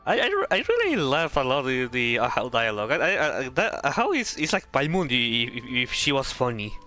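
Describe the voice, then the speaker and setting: A man playing a video game on stream, commenting in a silly, jokey, halting way. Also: mid-range voice, huge pitch swings, pushed and bright, faint music behind. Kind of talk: casual playful game commentary and dialogue reading.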